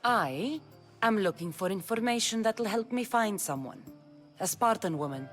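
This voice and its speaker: A tough mercenary warrior woman in a video game, voiced by a woman, dry and blunt. Her mid-pitched voice still moves with lively inflection, with faint music underneath. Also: normal speed, close to an everyday voice. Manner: dry, blunt, matter-of-fact. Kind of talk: video game cutscene acting.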